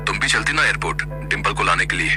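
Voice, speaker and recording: A man acts a shy young husband in a domestic audio story. His voice is fairly low, his replies short and uneasy, with music playing behind him. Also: lively intonation, quick pace, natural voice. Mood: reluctant. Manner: hesitant, brief, reluctant replies.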